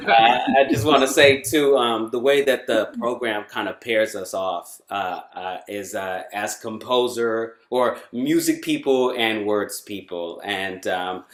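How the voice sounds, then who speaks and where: Male guest, lower voice, stop-start delivery, roomy echo. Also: a bit bright. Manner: halting, many fillers and restarts. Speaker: artist guest. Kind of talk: casual conversation.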